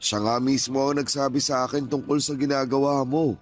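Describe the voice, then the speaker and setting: A man acting as a gentle, hurt older father in a radio drama, pleading earnestly and emotionally with his grown child. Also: medium pitch, fairly even intonation, normal speed, close to an everyday voice. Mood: reproachful. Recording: music playing behind the voice.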